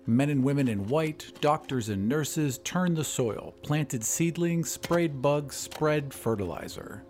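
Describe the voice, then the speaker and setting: A man's voice: on the high side, expressive, slow pace, a little mellow. A man hosting a storytelling podcast, reading scripted narration. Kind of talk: scripted audiobook-style narration.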